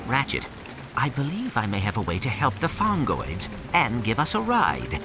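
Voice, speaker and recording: A man's voice: medium pitch, wildly swooping pitch, normal speed, over music. A man voicing a small, polite robot sidekick with formal, precise speech in a video game. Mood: calm, polite. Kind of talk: video game cutscene acting.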